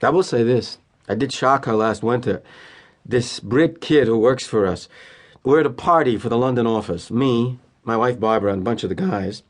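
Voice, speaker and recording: An actor with an American accent playing a cynical, polished middle-aged corporate executive in an audio drama monologue, wry and deliberate with emphatic pauses. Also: male voice, medium pitch, lightly acted. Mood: wry, confiding. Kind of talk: theatrical first-person dramatic monologue.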